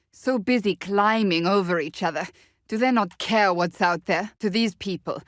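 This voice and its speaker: A woman acts out a blunt, devout warrior woman from a fantasy video game. Her voice sits on the low side, with a very dry, studio-tight sound. She speaks formally and earnestly, in clipped, stern phrases. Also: no echo, natural voice. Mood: dry, grave.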